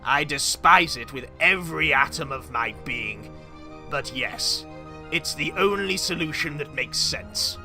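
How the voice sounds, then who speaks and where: A man's voice: a little high, expressive, unhurried, pushed and bright, faint music behind, full character voice. A man voicing a haughty, foolish, very old tycoon in a comic dub, pompous and melodramatic. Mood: bitter, grave. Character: haughty, foolish ancient male tycoon, feuding brother.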